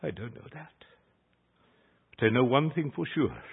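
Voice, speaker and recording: A man's voice: medium pitch, lively intonation, a little slow, gravelly, slightly rough, phone-quality sound. An older South African man whose first language is Afrikaans, a pastor preaching an earnest, emphatic sermon that rises on key phrases. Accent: South African English.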